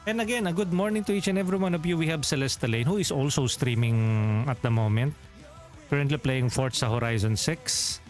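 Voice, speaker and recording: A man's voice: medium pitch, brisk, faint music behind. A Filipino man with a Filipino accent, a game streamer chatting casually and rambling as he corrects himself. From Philippines. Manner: rambling, self-correcting, chuckles often. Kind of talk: casual livestream chat.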